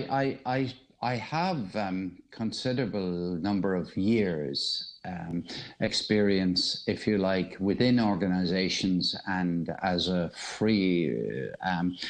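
A man's voice: deep voice, unhurried. A middle-aged man talking casually as a podcast guest, reflective and hesitant, with a self-deprecating way of putting things, speaking into a mobile phone. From Ireland. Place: remote conversation over a podcast app on a mobile.

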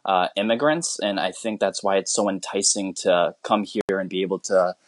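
A man's voice: low voice, normal speed, no echo. A man from the United States, a teacher, speaking as a guest in a casual interview, earnest and rambling with many restarts.

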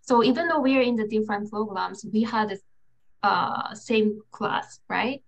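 A woman's voice: medium pitch, normal speed, creaky, a little hiss or hum. A woman interviewer whose first language is Japanese, asking a guest short, friendly questions in English. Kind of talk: casual interview chat.

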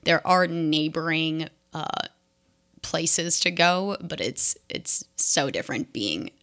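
A woman's voice: low-pitched, expressive, slow pace, a bit bright. A woman in her twenties from New York State, chatting casually and informally as a guest, easygoing and agreeable. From New York State, USA. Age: 20s. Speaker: guest, friend of the host. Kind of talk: casual chat.

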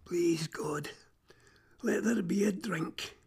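A man's voice: high-pitched, slow pace, creaky, breathy edge, pitched above the performer's own voice, heavily acted. A man voicing a parched, desperate but clever crow in a Scottish storybook fable, with a pleading, dramatic delivery. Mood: hushed pleading.

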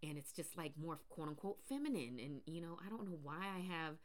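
Woman podcast host, naturally deep low voice, rambling casual monologue with fillers. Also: expressive, brisk. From Pacific Northwest, US. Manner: rambling, many fillers and restarts.

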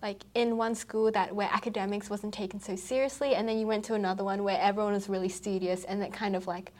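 A woman's voice: mid-range voice, fairly even intonation, fast talker, darkish tone. A woman hosting a podcast, asking questions in an upbeat, enthusiastic and encouraging way. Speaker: podcast host. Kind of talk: casual interview.

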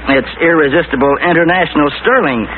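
A man's voice: a little high, a little fast, close to an everyday voice. An actor playing a boastful, lovestruck young male store clerk in 1940s radio comedy style, gushing and self-important. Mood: sheepish. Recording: tinny, faintly noisy old radio recording.

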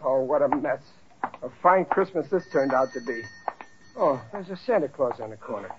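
An actor playing a blustering but good-hearted working-class family man in an old radio sitcom, plain-spoken and easily exasperated, with a rough voice. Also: medium pitch, expressive, normal speed, loud music behind the voice, natural voice. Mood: downcast. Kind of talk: 1940s radio sitcom acting. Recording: muffled old radio recording.

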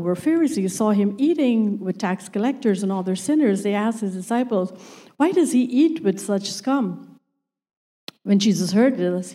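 A woman whose first language is not English, reading scripture aloud in church slowly and carefully, with occasional stumbles. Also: medium pitch, dark tone. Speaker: scripture reader.

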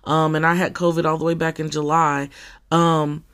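A podcaster with a scratchy, somewhat deep voice, talking in a casual personal monologue full of fillers and restarts. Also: little pitch movement, fairly bright tone. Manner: halting, with many fillers and restarts.

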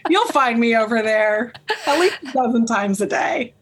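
A woman artist and color teacher being interviewed, talking loudly and passionately and laughing often. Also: fairly high pitch, expressive, moderate pace, noisy background. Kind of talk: podcast interview chat.

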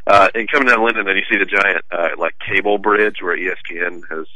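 Deep-voiced, bright sportswriter guest man. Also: fairly even intonation, fast talker, dry recording, rough recording. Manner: thoughtful, hesitant with fillers. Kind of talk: casual sports radio chat.

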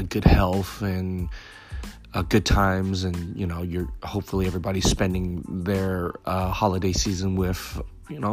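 A man in his thirties hosting a casual solo podcast, his voice still raspy from a recent cold, rambling with lots of fillers and restarts. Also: low voice. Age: 30s. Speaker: podcast host.

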